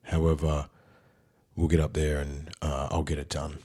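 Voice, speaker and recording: Australian male host, husky and a bit under the weather, casual solo intro, very deep tone. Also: completely flat delivery, moderate pace, dark tone. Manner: laid-back, candid, chatty. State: unwell. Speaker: podcast host.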